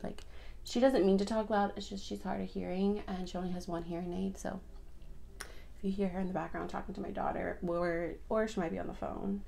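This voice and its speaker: Young woman vlogging her makeup, raspy just-woke-up voice with fry, lots of likes and ums. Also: medium pitch, steady tone, fairly quick, mellow, slight background noise. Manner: chatty, rambling, filler-heavy. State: raspy morning voice. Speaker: beauty vlogger. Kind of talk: casual get-ready-with-me chat.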